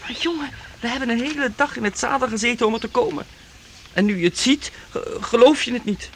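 A man acting a lively, teasing young friend in a radio drama, eager in manner. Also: extremely high pitch, brisk, a little hiss or hum. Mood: chiding.

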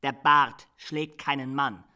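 An actor playing a bold, quick-witted young soldier lad who boasts pertly in a radio drama. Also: male voice, medium pitch, steady tone, pushed and bright, clean tone. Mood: cheeky, boastful.

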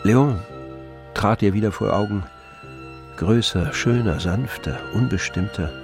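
A man narrating a radio play in a measured, grave, literary style. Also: lowish voice, music in the background.

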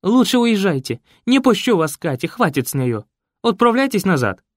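A man reading a loud, pushy, gossiping church choir director who talks fast and shrieks. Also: sing-song, no room sound, studio quality. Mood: shrill alarm. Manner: shrill, rapid, pushy. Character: loud nosy church choir director, middle-aged woman.

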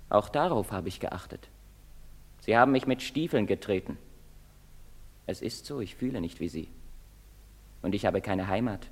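An actor playing a bitter, despairing young outcast in a German stage drama, declaiming in insistent short phrases. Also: male voice, lowish voice. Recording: reverberant theatre stage recording.

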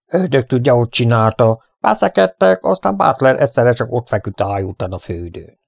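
A man's voice: mid-range voice, expressive, vocal fry, no room sound, clean studio sound. A man voicing a cool-headed bandit henchman in a western story. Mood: unruffled. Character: cool-headed male bandit henchman answering his boss.

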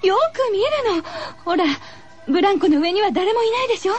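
A woman's voice: very high voice, animated, fairly quick. A woman playing a startled female schoolteacher who tries to stay composed as she addresses her pupil in a horror radio drama. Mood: alarmed, urgent. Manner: addresses her pupil in feminine, instructive speech. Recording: dull lo-fi radio recording with music.

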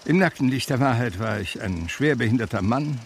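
An actor playing a reflective man who tells his life story in measured literary radio drama narration. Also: medium pitch, expressive, slight background noise, close to an everyday voice. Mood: melancholy. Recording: music under the voice. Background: music.

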